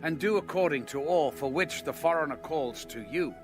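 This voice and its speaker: A man narrating scripture from a script in a measured, solemn manner. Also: a little high. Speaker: audio Bible narrator. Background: music.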